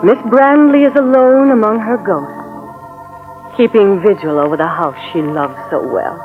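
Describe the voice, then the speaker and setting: An actress playing a somber young heroine, narrating with measured, wistful drama in 1940s radio style. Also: female voice, mid-range voice, natural voice. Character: somber young heroine recalling past terror. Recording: tinny vintage radio recording. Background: organ music.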